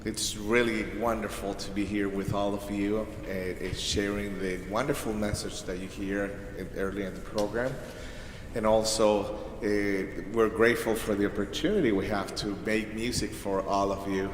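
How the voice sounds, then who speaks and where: A man from Venezuela with a Venezuelan Spanish accent, speaking haltingly to a concert audience in a church, with many fillers and restarts. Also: on the low side, little pitch movement. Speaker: concert performer addressing audience. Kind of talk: unscripted speech to an audience. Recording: echoey church hall, faint noise.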